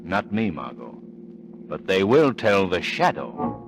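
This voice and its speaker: An actor playing a bold, urbane amateur sleuth in an old-time radio mystery, decisive in manner. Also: male voice, deep voice, wildly swooping pitch, slow, creaky, music in the background, heavily acted. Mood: determined. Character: suave, bold male amateur sleuth, adult. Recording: old radio recording with faint noise.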